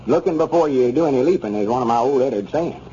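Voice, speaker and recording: An actor playing a folksy, gullible, self-important country storekeeper with a rural Southern American accent, rambling and muddling homespun sayings in a 1930s radio comedy. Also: male voice, mid-range voice, animated, fast talker, dark tone, close to an everyday voice. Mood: self-assured. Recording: muffled 1930s radio recording, faint noise.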